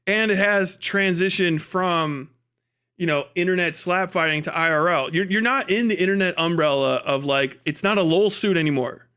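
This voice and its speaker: Male streamer, high voice, profane and opinionated, casual emphatic commentary. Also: normal speed, bright, forceful tone, smooth. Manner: profane, emphatic, opinionated, repeats words for stress. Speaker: livestream host.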